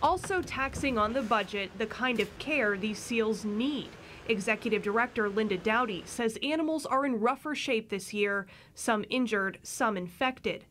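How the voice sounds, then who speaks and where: A woman's voice: fairly high pitch, normal speed, few pauses, fairly bright tone, a little hiss or hum. Woman reporter reading a news story. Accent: American. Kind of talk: scripted news narration.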